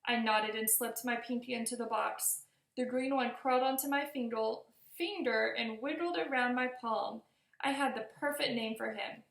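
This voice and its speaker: A woman's voice: a little high, moderate pace, creaky, fairly bright tone. A woman reading a storybook aloud expressively for young children, in the manner of a teacher addressing listeners. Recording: roomy echo.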